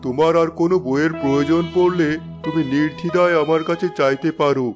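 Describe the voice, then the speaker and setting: A kindly, wise tree from a children's cartoon , played by an actor. The voice sits at a middle pitch and speaks in a calm, measured, reassuring manner. Music plays clearly behind it. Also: close to an everyday voice. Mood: kindly, thoughtful.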